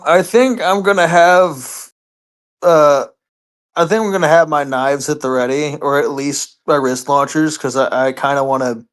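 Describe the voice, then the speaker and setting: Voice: medium pitch, fairly bright tone. A tabletop role-playing player talking casually and hesitantly, thinking aloud while describing what their character does. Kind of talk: casual tabletop roleplay chat.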